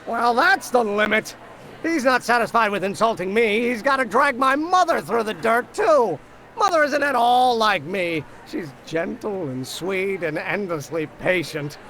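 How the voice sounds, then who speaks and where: A man's voice: sits high, lively intonation, measured pace, bright, forceful tone, slight background noise, heavily acted, low-quality recording. An actor voicing a stubborn, melodramatic young male inventor in a video game, theatrical and emphatic. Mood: indignant.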